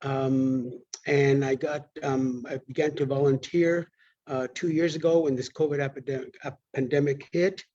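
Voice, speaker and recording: A man's voice: mid-range voice, level tone, normal speed, warm tone, muffled recording. An older man from the United States, a community organizer introducing himself unscripted on a panel.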